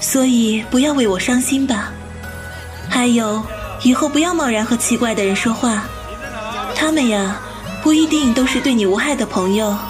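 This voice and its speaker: A young lotus spirit maiden voiced by a woman, gentle and wistful. Her voice sits high, in audio drama style, with music playing clearly behind her. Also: moderate pace, close to an everyday voice. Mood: tender, sad. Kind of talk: Chinese audio drama acting.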